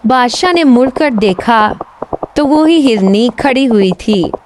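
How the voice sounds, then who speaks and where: A woman's voice: fairly high pitch, expressive, slow pace, background noise. A woman storyteller reading a scripted children's tale as narrator.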